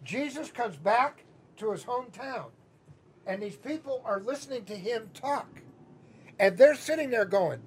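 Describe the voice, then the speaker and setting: A man's voice: very high-pitched, lively intonation, a little slow, slight background noise. A man from Chicago with a Chicago accent, preaching a sermon as pastor in a conversational, teasing way and asking the congregation questions. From Chicago, Midwest US. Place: church sanctuary.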